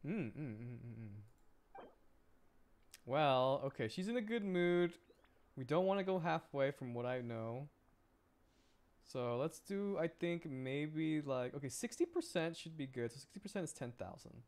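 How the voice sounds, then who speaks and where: A man's voice: a little high, animated, measured pace, a little mellow. A young man streaming a video game, chatting casually and rambling, often repeating words as he thinks aloud.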